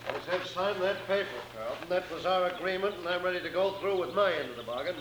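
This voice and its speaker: A man's voice: high-pitched, fast, lightly acted. A man playing a menacing, surly rancher villain in a western radio drama, curt and threatening. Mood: demanding. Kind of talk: 1950s western radio acting. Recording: noisy old radio recording.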